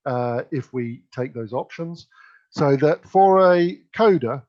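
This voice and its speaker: A man's voice: medium pitch, darkish tone. A man giving an instructive, emphatic conference keynote presentation. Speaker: keynote speaker. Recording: dull lo-fi sound.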